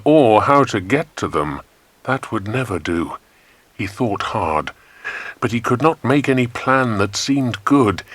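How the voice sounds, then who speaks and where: A man with an English accent narrating a children's adventure story in a measured, dramatic storytelling manner. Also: medium pitch, dry recording. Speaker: audiobook narrator.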